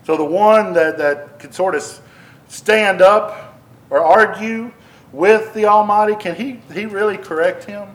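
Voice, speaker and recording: A man's voice: high voice, measured pace, rough recording. A man preaching a conversational sermon into a microphone, restarting phrases and pressing questions at his listeners. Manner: conversational, repeats and restarts, rising emphasis on questions. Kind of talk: sermon, Bible teaching. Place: church building.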